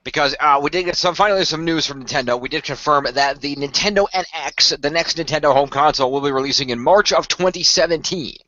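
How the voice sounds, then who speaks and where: Bright, fast-talking male podcaster. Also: on the high side, no room sound, muffled recording. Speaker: podcast co-host. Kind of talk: casual news chat.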